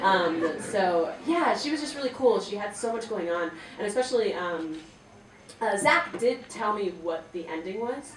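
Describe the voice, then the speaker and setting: A woman voice actress and singer chatting on a convention panel, bubbly and peppered with "like". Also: lowish voice. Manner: bubbly, lots of "like", laughs between words. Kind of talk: casual panel talk. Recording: echoey hall, distant mic, noisy.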